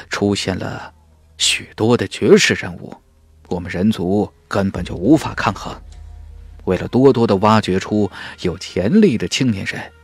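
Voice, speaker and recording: A man voicing a teasing, worldly male warrior in a fantasy audiobook, with a slow, knowing delivery. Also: lowish voice, vocal fry, slightly rough, no room sound, exaggerated voice. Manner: sly chuckles, slow weighty delivery. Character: teasing, worldly young male warrior, sworn-brother type.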